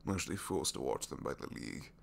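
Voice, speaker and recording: A man playing a captured supervillain in an intimate ASMR roleplay, a gentle, bookish dreamer who speaks softly and hesitantly, often sinking toward a whisper. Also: a bass voice, expressive, fast, creaky, slight background noise, voice pushed down, heavily acted. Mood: sincere, fond. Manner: hesitant, soft-spoken, rambling, often dropping to whispers.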